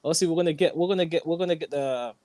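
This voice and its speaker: A young man hosting a casual football chat, animated and slangy, repeating his lines. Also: on the high side, racing, very noisy. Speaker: host.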